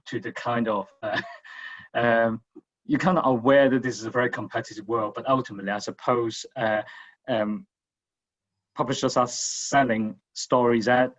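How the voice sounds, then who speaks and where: A man talking informally as a panel guest, halting and searching for words, on dull online audio. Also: mid-range voice.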